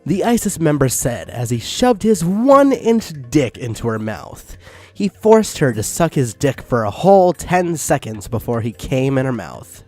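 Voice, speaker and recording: A man's voice: mid-range voice, very animated, a little slow, a little mellow, soft background music. A man reading fan fiction casually with comic commentary, exasperated and given to swearing.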